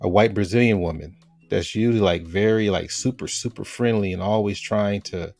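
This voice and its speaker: Deep-voiced man, loose rambling host. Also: normal speed, vocal fry, faint music behind. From United States. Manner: relaxed, rambling with fillers and restarts. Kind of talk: casual interview chat.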